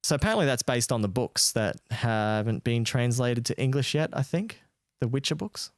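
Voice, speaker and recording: A man's voice: mid-range voice, expressive, normal speed, no room sound, clean studio sound. An Australian man co-hosting a podcast, chatting about games in a laid-back, slangy way. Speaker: podcast co-host. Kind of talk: casual gaming chat.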